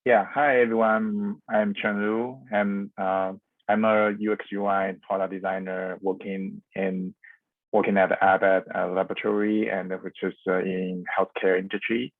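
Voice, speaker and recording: A young man introducing himself casually as a panelist, in a halting, hesitant way, over thin phone-like call audio. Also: low voice, level tone, no echo. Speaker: alumni panelist.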